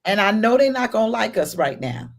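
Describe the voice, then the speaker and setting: Husky woman pastor hosting a chat. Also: medium pitch, big pitch swings, brisk. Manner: affirming, blunt opinions. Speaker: pastor and host. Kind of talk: casual chat.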